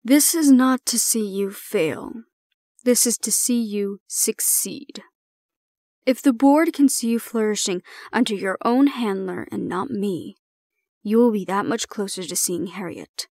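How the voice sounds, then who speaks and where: A woman's voice: mid-range voice, unhurried, dark tone, no room sound, natural voice. A woman plays a composed female official in an audio drama, close and dry. She speaks formally and diplomatically, measuring out each phrase as someone in charge of difficult charges. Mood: composed, reassuring. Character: calm, formal female supervisor handing over a hybrid prisoner. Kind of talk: audio roleplay acting.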